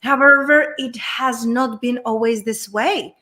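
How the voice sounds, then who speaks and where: A woman's voice: a little high, unhurried, sharp tone. Czech-accented woman telling her story. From Czech Republic. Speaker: guest coach. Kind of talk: personal story on a talk show.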